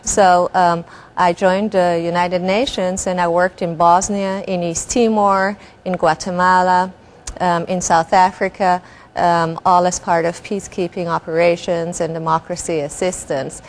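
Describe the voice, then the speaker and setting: A woman's voice: fairly low pitch, flat delivery, measured pace. A woman from Belgium, answering interview questions in an earnest, articulate and considered way. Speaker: interview guest, nonprofit executive director.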